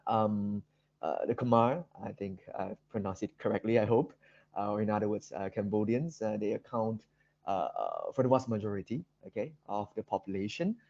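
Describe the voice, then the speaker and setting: A man with a Malaysian accent giving an academic seminar presentation, speaking haltingly with very frequent ums and repeated words. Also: lowish voice, expressive, gravelly, darkish tone. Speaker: guest lecturer. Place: online video call seminar. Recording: dull, roomy video-call audio.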